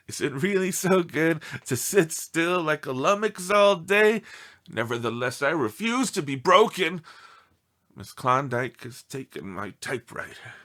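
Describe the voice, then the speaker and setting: A man's voice: on the high side, a bit bright, exaggerated voice. A man voicing an indignant, self-pitying pet dog in a theatrical storybook read-aloud. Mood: defiant, aggrieved. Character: aggrieved melodramatic pet dog writing pleading letters home.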